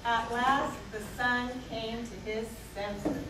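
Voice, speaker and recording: High-voiced woman narrator, echoey recording. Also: fairly even intonation, slow pace, slight background noise. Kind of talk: scripted children's story narration. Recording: echoey, distant, loud music behind.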